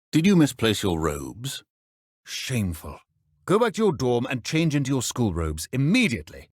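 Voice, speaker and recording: A stern, middle-aged British professor of wizardry, very low in pitch and recorded dry. He speaks in clipped, formal, measured phrases with a dry edge, like a video game mentor. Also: no echo, heavily acted. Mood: disapproving.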